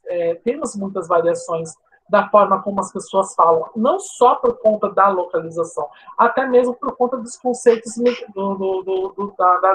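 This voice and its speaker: A Portuguese teacher from São Paulo's coast, with its sibilant S, gives a chatty live online class full of tag questions and restarts. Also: female voice, a little high, rough recording. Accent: Brazilian Portuguese, coastal São Paulo. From São Paulo state coast, Brazil. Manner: informal, chatty, frequent "né?" tags and restarts.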